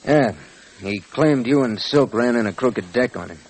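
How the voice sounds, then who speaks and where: An actor playing a tough, commanding, self-assured saloon boss in an old Western radio drama. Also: male voice, medium pitch, lively intonation, normal speed, lightly acted. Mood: stern. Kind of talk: 1940s Western radio acting. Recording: noisy old radio transcription.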